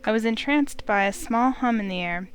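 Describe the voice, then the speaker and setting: A woman hosting a game playthrough, reading the story aloud as expressive narration. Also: on the high side, moderate pace, clean tone.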